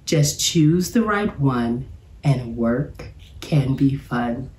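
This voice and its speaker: A cheerful storybook bear professor, read by a woman, explains things to children with emphasis and encouragement. The delivery is slow and bouncy in pitch, with a roomy echo. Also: lively intonation, a little mellow, distant mic, heavily acted. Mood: encouraging. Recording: roomy, echoey sound.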